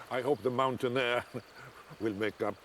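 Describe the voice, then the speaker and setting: A man's voice: medium pitch, slight background noise. An older man acting a plain-spoken, kindly old mountain hermit grandfather in a film drama. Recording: film soundtrack with loud music behind.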